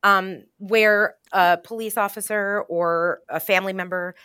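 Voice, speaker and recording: A woman speaking as a journalist guest in an interview, giving measured, analytical explanations. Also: mid-range voice, steady tone, bright, forceful tone, clean tone, no echo, studio quality. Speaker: interview guest, journalist covering gun violence. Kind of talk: explanatory radio interview answers.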